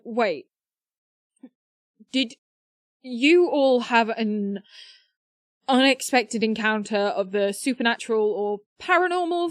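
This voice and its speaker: A woman's voice: on the high side, no room sound, clean studio sound. A woman playing an earnest, flustered teenage schoolgirl in an audio drama, hesitant and wordy.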